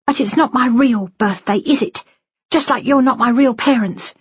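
A woman's voice: on the high side, moderate pace, breathy edge, no echo, dull audio, voice pushed up. A woman voicing a wounded, bitter young daughter who speaks in a cold, accusing, defiant way in an audiobook story. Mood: hurt, bitter. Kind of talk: dramatised audiobook dialogue.

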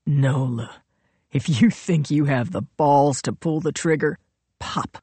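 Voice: medium pitch, warm tone, breathy edge, no echo. Sneering male villain played by a narrator for an audiobook thriller: cruel, gloating, mocking, dry close sound. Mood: menacing. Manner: mocking, drawn-out taunts.

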